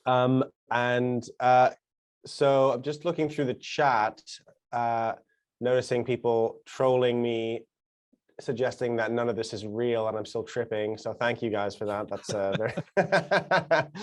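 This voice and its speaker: A man hosting a casual, reflective discussion, searching for his words as he goes. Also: mid-range voice. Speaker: host.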